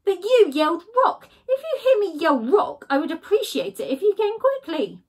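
A woman's voice: extremely high pitch, sing-song, normal speed, voice pushed up. A woman voicing an earnest, fussy male skunk who chatters anxiously. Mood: worried. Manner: worried, chattering, insistent.

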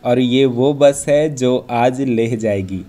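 Mellow male travel vlog narrator. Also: medium pitch, takes it slowly. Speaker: travel vlogger and host.

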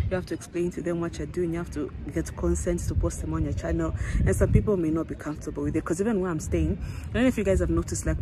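A woman in her thirties from Zambia, with a Zambian accent that slips toward South African, chatting earnestly to her phone camera in a rambling, filler-filled way beside a parked car. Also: deep voice. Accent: Zambian, slipping into South African. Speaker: vlogger. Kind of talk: casual selfie vlog chat. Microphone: phone held at arm's length. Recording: phone audio with outdoor noise.

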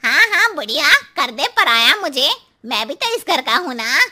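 A performer voicing a cheeky, mocking pet parrot in a cartoon, with a very high, squeaky voice and taunting singsong delivery. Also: sing-song, unhurried, pushed and bright, heavily acted. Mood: nosy, cheeky. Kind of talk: animated cartoon dialogue.

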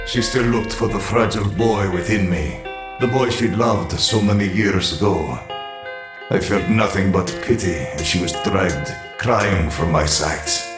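Very deep, echoing imperious tyrant warlord. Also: male voice. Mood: brooding. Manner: grandiose, commanding, deliberate. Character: cold, imperious armored tyrant warlord narrating his conquest. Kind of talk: dramatic comic narration and dialogue. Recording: echoing voice under loud music.

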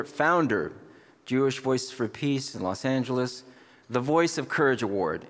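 A man's voice: mid-range voice, a bit bright. A middle-aged man hosting an awards dinner, speaking emphatically from a podium in a large banquet hall. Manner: emphatic, slow, builds to proud introductions. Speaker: event host presenting an award. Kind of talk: rousing speech at a podium. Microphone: podium microphone. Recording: long hall reverb with faint crowd.

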